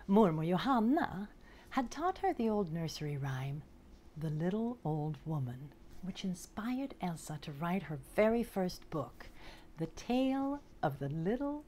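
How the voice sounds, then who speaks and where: A woman in her forties presenting and reading to children in a deliberate, expressive, carefully enunciated way. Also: on the low side, a little hiss or hum. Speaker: storytelling presenter. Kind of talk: scripted narration for children, picture-book reading. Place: indoors in front of a curtain backdrop.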